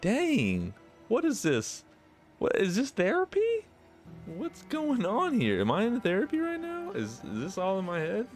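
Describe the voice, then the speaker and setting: A man's voice: sits high, wildly swooping pitch, a little slow. A man reading a visual novel aloud and reacting casually with informal, self-deprecating commentary. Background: music.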